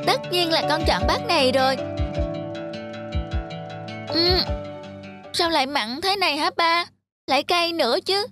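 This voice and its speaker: A woman's voice: very high voice, measured pace, pauses often. A woman voicing a spoiled little schoolgirl in a children's cartoon, with a blunt, childish way of talking. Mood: eager, childish. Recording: loud music behind the voice. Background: loud children's music.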